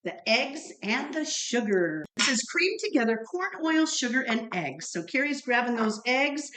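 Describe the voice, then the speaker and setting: High-voiced woman co-host, roomy sound. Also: very animated, normal speed, a bit bright. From Ohio, United States. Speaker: podcast co-host. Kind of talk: casual chat, storytelling. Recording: roomy, echoing room sound.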